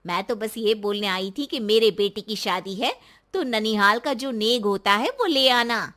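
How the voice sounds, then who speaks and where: A woman's voice: mid-range voice, animated, a little slow, pushed and bright. A woman voicing a haughty, nagging sister-in-law in a story, scornful and taunting.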